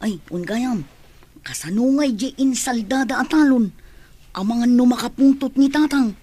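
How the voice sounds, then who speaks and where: A woman's voice: on the high side, normal speed, mellow, lightly acted. A woman playing a self-interested wife in an Ilocano radio drama. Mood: scheming.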